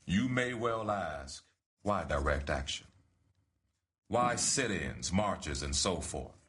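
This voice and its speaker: A man reads a written letter aloud like a solemn speech, with deliberate and emphatic phrasing. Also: sits low, lively intonation, fairly bright tone. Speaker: reader of a letter. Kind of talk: solemn oratorical reading.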